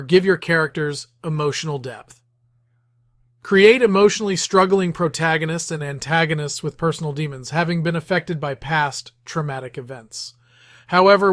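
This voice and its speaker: Higher-pitched man narrating a scripted tutorial. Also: fairly bright tone, no room sound, clean studio sound. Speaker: host of writing tips series.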